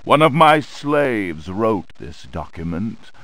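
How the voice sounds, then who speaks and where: An actor voicing a menacing, boastful centuries-old undead pirate sorcerer in a slow, theatrical, gloating video game monologue. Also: male voice, lowish voice, very animated, a bit bright, dry recording, heavily acted. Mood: ominous, gloating. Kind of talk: dramatic narrated villain monologue in a video game.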